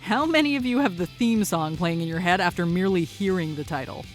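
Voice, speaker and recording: A woman's voice: fairly low pitch, fairly quick, over music. A woman reading scripted, upbeat narration as the host of a countdown list.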